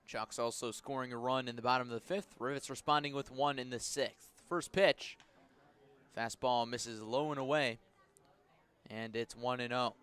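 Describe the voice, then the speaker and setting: A man's voice: mid-range voice, fairly even intonation, moderate pace, fairly bright tone, clear, resonant tone. A man doing live baseball play-by-play for radio, describing the action in clipped, descriptive phrases. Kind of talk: live radio sports commentary.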